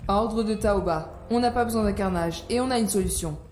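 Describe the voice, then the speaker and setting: A woman's voice: medium pitch, fairly quick, a little mellow, a little hiss or hum, natural voice. A woman playing a cold, ruthless superior officer, curt and composed, in an audio drama. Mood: cold authority. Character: cold, ruthless, authoritarian female commanding officer. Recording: roomy sound with faint music bed.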